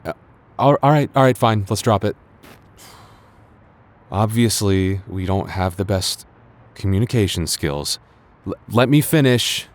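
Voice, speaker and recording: A man acting a stubborn but regretful young man in an intimate boyfriend roleplay, speaking in a halting, earnest way with stammers and sighs. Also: fairly low pitch, lively intonation. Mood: defensive, pleading. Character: stubborn, regretful young man confessing love to childhood friend.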